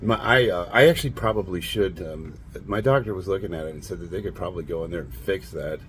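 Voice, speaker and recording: Stuffed up raspy guy almost fifty, kinda low voice, just rambling and laughing. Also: quick pace, fairly bright tone, a little hiss or hum. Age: 40s. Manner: blunt, rambling, laughs often. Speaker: fitness vlogger. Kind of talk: casual monologue to camera.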